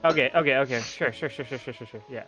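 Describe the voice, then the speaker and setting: A man's voice: mid-range voice, quick pace, highs cut off, over music. A man playing a tabletop game, chatting casually and hesitantly, often repeating himself.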